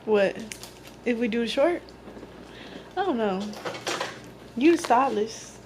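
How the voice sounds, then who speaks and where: A woman's voice: on the high side, expressive, slow pace, background noise. A twenty-year-old woman vlogging at a hair salon, chatting casually and playfully to the camera in slang. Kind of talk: casual vlog chat to camera.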